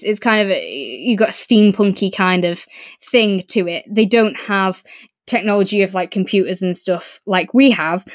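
A woman's voice: mid-range voice, no room sound. Enthusiastic woman podcaster, close dry sound. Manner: enthusiastic, effusive. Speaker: podcast co-host. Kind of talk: casual book review discussion.

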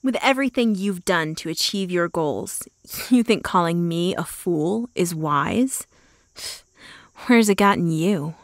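An actress plays a defiant true believer in an audio drama. Her lowish female voice is cutting and challenging, recorded dry with no room sound. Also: lively intonation, unhurried, dry recording. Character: defiant, zealous female follower of a villain.

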